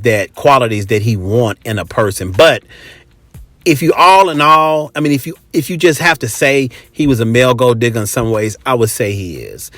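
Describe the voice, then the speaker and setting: A man's voice: mid-range voice, a bit bright, no echo. An American man hosting a podcast in a casual, chatty monologue, talking colloquially and emphatically and repeating phrases for effect. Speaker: podcast host.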